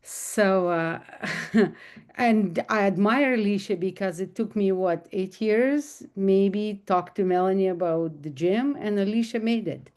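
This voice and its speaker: A woman's voice: medium pitch, unhurried, darkish tone. A woman with a Russian accent, speaking casually to an audience on a video call, earnest and emphatic, often repeating herself. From former Soviet Union. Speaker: guest speaker.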